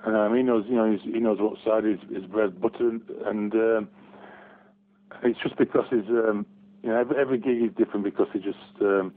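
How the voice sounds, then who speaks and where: A man's voice: lowish voice, fairly even intonation, fairly quick. A man, talking hesitantly as a guest in a casual phone interview. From Barnsley, England. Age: 40s. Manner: hesitant, many restarts and ums. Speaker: guest fan. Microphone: telephone. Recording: tinny phone line.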